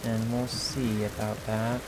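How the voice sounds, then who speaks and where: A hoarse man giving casual, hesitant commentary over a video game, thinking aloud with frequent pauses and fillers. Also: on the low side, level tone, low-quality recording. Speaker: gameplay video creator. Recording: clear game music under the voice. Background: game music and rain sounds.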